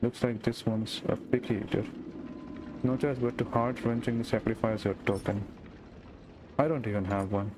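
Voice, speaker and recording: A man with a fairly low voice plays through a story game. He comments casually and reads text out with the odd stumble, with clear music behind him. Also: fairly even intonation, moderate pace, long pauses. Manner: off-the-cuff reactions, stumbles while reading. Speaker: game streamer.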